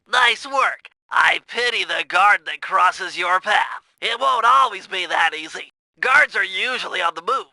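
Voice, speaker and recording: A man's voice: high-pitched, gravelly, pushed and bright, no room sound, full character voice. A man voicing a brainy, fussy turtle mission planner in a video game, nasal and precise, explaining things in wordy technical terms. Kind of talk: video game tutorial voice acting.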